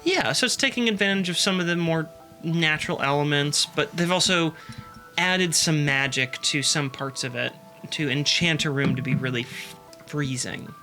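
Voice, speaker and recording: Bright-voiced man narrating a tabletop game. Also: a little high, faint music behind. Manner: descriptive, halting with fillers. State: sniffly from allergies. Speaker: game master. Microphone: desk microphone.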